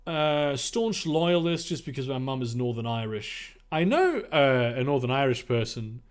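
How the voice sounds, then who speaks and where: A man's voice: mid-range voice, big pitch swings, normal speed. A young German man reading viewer questions aloud on a livestream and answering them in casual, rambling, profane chat.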